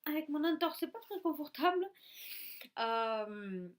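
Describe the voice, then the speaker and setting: A woman's voice: high-pitched, lively intonation, unhurried. A woman in her thirties from Russia with a Russian accent, chatting casually to camera at home, hesitant with many fillers and restarts. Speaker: YouTuber. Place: at home in her craft room.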